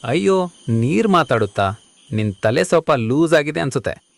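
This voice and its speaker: A gruff old grandfather from a cartoon village, as a woman narrator puts him on, scoffing at what he hears. His voice is lowered and gravelly, brusque and doubtful. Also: animated, moderate pace.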